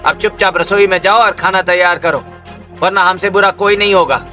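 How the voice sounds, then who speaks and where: A man's voice: sits high, moderate pace, pushed and bright, soft background music. A man playing a defensive, scolding young village husband in a cartoon story. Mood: angry, bossy. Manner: scolding, bossy, dismissive.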